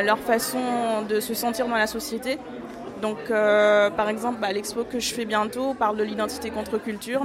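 Young woman interviewed on radio, lots of euh, laughs a lot, noisy crowd around, voice a bit high. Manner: hesitant, many fillers, laughs often. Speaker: artist photographer, interviewee.

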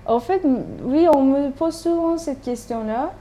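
Hesitant Turkish woman, mellow voice. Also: high voice, measured pace. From Turkey. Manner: hesitant, many fillers and restarts. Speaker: interviewee, doctoral student. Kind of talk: casual interview talk. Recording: background noise.

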